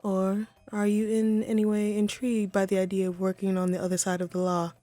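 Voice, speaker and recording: A woman's voice: medium pitch, completely flat delivery, fairly quick, warm tone, no room sound, soft background music, natural voice. A woman voicing a haughty, wry female supervillain in a scripted audio roleplay, poised and theatrical but conversational. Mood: encouraging, sly.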